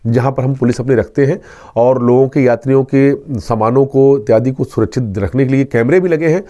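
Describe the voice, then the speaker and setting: Male police inspector, soft dark timbre, earnest and instructive interview delivery. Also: mid-range voice. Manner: earnest, instructive, repeats key points. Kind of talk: explanatory interview answers with safety advice.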